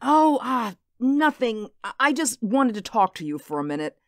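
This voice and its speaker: A woman's voice: a little high, sing-song, moderate pace, no echo, studio quality. A woman playing a worried, hesitant suburban mother in a radio drama. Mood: nervous, evasive. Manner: hesitant, anxious. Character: uneasy, worried suburban mother, trying to stay firm.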